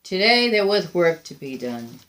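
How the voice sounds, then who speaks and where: A woman reading a children's picture book aloud with deliberate, expressive phrasing. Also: fairly low pitch, rough recording. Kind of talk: read-aloud children's storytelling.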